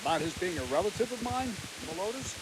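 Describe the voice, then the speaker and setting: An actor playing a seasoned, wry guard captain in a medieval video game, speaking bluntly and with measured weight. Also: male voice, high-pitched, expressive, noisy background. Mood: firm.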